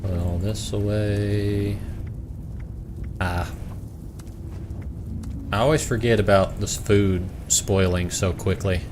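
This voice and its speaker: Deep-voiced Southern man rambling while gaming. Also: fairly even intonation, background noise. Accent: Southern American. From Southern United States. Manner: rambling, self-mocking, quick asides. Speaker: streamer. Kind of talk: casual gameplay commentary. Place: home room.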